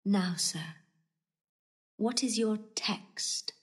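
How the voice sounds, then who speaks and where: An actress playing a proud, witty young countess in classical Shakespearean style, imperious, arch and articulate. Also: female voice, medium pitch, drawn-out words. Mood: haughty, brisk. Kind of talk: classical stage acting of Shakespeare.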